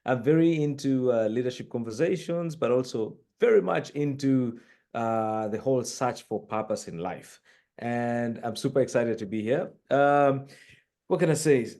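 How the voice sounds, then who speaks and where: A man's voice: medium pitch, normal speed, warm tone. Earnest man from northern Uganda, reflective. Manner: earnest, emphatic, with many fillers. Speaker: interview guest, corporate executive. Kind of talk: reflective conversational interview. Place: room with books behind him.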